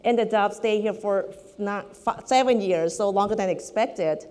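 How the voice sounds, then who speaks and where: A woman's voice: medium pitch, unhurried, a little mellow. A woman in her forties from Thailand with a Thai accent, giving a friendly, joking keynote talk as a corporate economist. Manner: friendly, joking, self-deprecating humor. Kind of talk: conference keynote presentation. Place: large event hall. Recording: reverberant hall.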